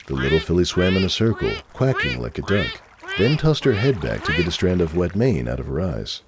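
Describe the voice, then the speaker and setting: A man narrating a story as a dramatic scripted reading, measured and expressive. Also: deep voice, over a noisy crowd.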